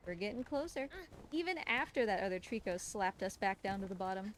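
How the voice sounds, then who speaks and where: A woman's voice: medium pitch, animated, brisk. A woman giving casual, chatty and explanatory live commentary as she plays a video game on a stream. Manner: chatty, explanatory, talks to the game creature and viewers. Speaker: speedrunner and livestream host. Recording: clearly noisy, game sound behind.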